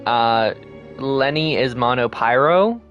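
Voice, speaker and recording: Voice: medium pitch, lively intonation, slow pace, muffled recording. A game streamer giving casual, chatty gameplay commentary. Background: game music.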